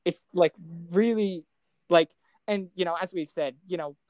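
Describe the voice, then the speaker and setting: A man's voice: high-pitched, unhurried, darkish tone, no room sound. A man co-hosting a podcast, chatting casually and enthusiastically about films in an informal, giggly way. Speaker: podcast co-host. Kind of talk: casual film discussion chat.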